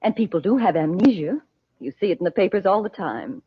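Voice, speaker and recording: A woman's voice: fairly low pitch, animated, brisk, slightly rough, no room sound. An actress playing an anxious, skeptical, genteel mother with emotional, pleading delivery in an old-time radio serial. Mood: troubled, pleading. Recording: tinny, band-limited old radio recording.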